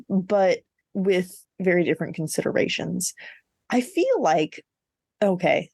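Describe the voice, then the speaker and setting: A woman's voice: on the low side, gravelly, darkish tone, no room sound. Woman podcaster, wry and very dry-sounding. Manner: informal, wry, with sweary asides. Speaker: podcast co-host. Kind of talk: casual book discussion chat.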